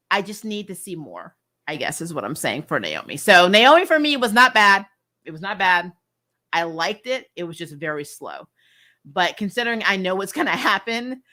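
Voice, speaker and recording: A woman hosting a casual livestream review, chatting with viewers in an animated, emphatic way and repeating phrases for effect. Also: fairly low pitch. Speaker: host of a comic and TV review channel. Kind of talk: casual livestream chat, answering viewer questions.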